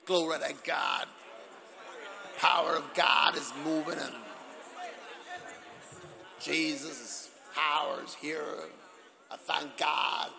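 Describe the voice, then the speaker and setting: A man imitating a Ghanaian preacher who fakes an American accent, proclaiming loudly and slowly. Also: on the high side, fairly even intonation, creaky, a crowd in the background, exaggerated voice. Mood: showy. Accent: put-on American. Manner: loud, drawn-out, showy proclamations. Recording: reverberant hall.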